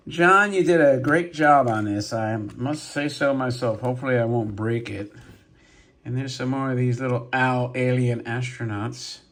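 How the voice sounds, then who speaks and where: A man with a hoarse, cold-roughened voice, hosting a casual show-and-tell vlog and chatting in a rambling way with many asides. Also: mid-range voice, a bit bright. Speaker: toy robot collector and channel host.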